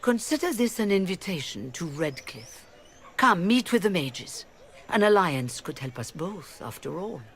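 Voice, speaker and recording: Casting: a composed, diplomatic mage leader, measured delivery, faint ambience behind. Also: female voice, fairly low pitch, sing-song, a little hiss or hum. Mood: calm, persuasive. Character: poised mature female mage leader.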